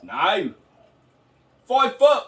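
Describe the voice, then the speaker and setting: Man playing a crude British lad with a put-on accent: lively, recorded far off in a roomy space. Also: sits high, very slow, heavily acted. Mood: rowdy. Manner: rowdy, crude, mocking. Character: crude rowdy British bloke, adult man. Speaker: podcast co-host. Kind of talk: improvised comedy bit.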